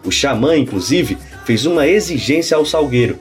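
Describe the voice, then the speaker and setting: A man presenting a news report in a scripted, expressive read. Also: medium pitch, normal speed, soft background music.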